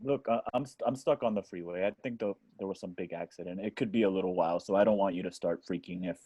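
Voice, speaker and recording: An irritable, brash movie actor as a man reads him in an amateur film script reading. His voice sits fairly low in a dry recording, with casual, halting phrasing. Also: dark tone, slightly rough, dry recording, lo-fi sound. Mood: placating. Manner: casual, halting, swearing when annoyed.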